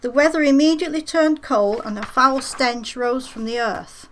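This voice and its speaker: Casting: actress playing pompous Spanish monarch, high regal voice, reading decrees in radio comedy. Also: female voice, moderate pace, a bit bright, a little hiss or hum. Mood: disdainful, pompous. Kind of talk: comic radio play acting.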